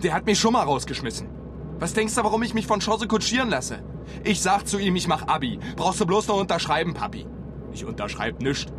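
An actor in a radio drama playing a sulky, foul-mouthed young workmate who complains defiantly. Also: male voice, high-pitched, big pitch swings, brisk, background noise. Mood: bitter, defiant. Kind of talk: naturalistic radio drama acting.

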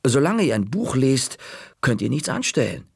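A stern, tired father grumbling at his children, voiced by a man reading aloud. The voice is gravelly and animated, recorded dry. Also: mid-range voice, normal speed, no echo, pitched above the performer's own voice, full character voice.

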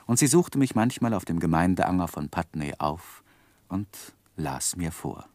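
An actor in a German radio play playing a reflective gentleman who narrates his own life in measured, literary phrases. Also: low-pitched, animated, dry recording. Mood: melancholy, reflective. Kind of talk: scripted first-person narration in 1960s German radio drama.

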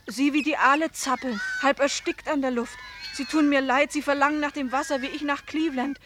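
A woman's voice: high voice, fairly even intonation, brisk, lightly acted. An actress playing a restless, discontented wife of a fisherman in a German radio play, longing to get away. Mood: yearning, insistent. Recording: clearly noisy, farmyard-like bird ambience behind.

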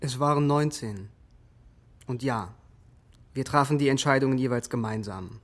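An actor playing an unrepentant soldier on trial in a radio drama, terse, factual and controlled. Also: male voice, mid-range voice, moderate pace, fairly bright tone, lightly acted. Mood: matter-of-fact. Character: unrepentant, matter-of-fact male soldier, sniper on trial. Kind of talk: German radio drama acting.

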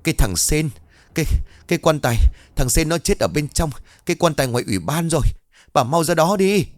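A man playing a young village lad in a storybook tale, yelling in a high, straining voice, panicked and out of breath. His pitch leaps around wildly as he stammers out bad news in a dry, close recording. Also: lively intonation, fairly quick, dry recording, close to an everyday voice. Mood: frantic shouting. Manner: shouting urgently, gasping between words.

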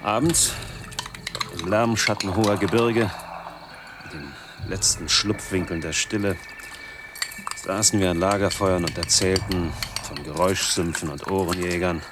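Embittered fugitive from noise, middle-aged, low-pitched, brooding, clipped dramatic fragments, literary radio monologue, clearly noisy background. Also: male voice, unhurried. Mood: bitter, haunted. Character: embittered man in his forties, fleeing from noise.

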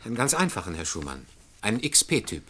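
Earnest rebel programmer in an old sci-fi radio play, lowish voice, noisy recording. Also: male voice, big pitch swings, fairly quick, fairly bright tone. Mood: wary, defensive. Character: defiant idealistic programmer, a male nonconformist. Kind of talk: 1960s-90s German science-fiction radio drama acting.

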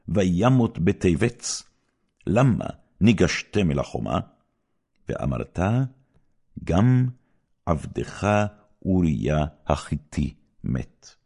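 A man with a very low, creaky voice narrates scripture. His delivery is solemn and measured, yet highly expressive, with wide swings of intonation that give the biblical story dramatic shape.

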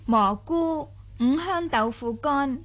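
High voiced rich young mistress, shrewd n teasing, radio play. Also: female voice. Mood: explaining proudly. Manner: brisk, teasing, playful. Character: vivacious, shrewd young mistress of a wealthy household. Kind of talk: Cantonese radio drama acting.

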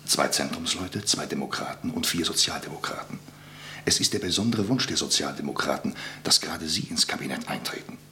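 A humble, solemn small-town politician in a radio drama. His voice is very low and bright-edged, with earnest, hesitant delivery. A faint hum sits behind him. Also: a little fast, exaggerated voice. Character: solemn, humble, naive conservative provincial lawyer and politician. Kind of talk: German radio play acting.

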